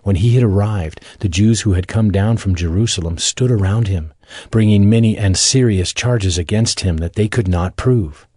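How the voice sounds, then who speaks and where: A man's voice: fairly low pitch, moderate pace, warm tone, breathy edge, no echo, clean studio sound. A man narrating scripture as the storyteller of a dramatized audio Bible.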